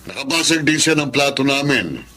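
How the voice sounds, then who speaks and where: A man's voice: medium pitch, expressive, normal speed, a crowd in the background, lightly acted. A man voicing a stern, condescending rich patriarch who lends money and lectures his son.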